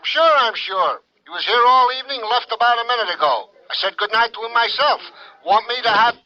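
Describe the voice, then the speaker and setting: An actor playing a matter-of-fact bartender heard through a telephone in an old-time radio drama. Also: male voice, very high-pitched, expressive, fairly quick, bright, forceful tone, exaggerated voice. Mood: casual, certain. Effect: telephone filter. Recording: dull radio recording, telephone sound.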